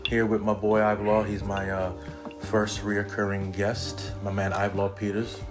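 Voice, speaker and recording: A man's voice: lowish voice, completely flat delivery, a little slow, music in the background. A man hosting a casual talk show, teasing and pushing his guest with profane banter. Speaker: podcast host. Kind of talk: casual chat.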